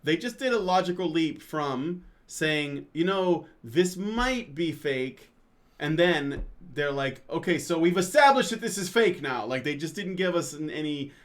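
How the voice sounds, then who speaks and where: A man with a fairly high voice co-hosts a casual online chat show. He rambles, stumbles over his words and restarts his sentences. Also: animated, a little slow. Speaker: co-host.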